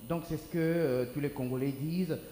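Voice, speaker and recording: A man hosting a TV studio interview asks quick, pointed questions of his guests. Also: fairly high pitch, steady tone, dark tone, roomy sound, in a large hall, a little hiss or hum. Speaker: TV host. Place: TV studio set.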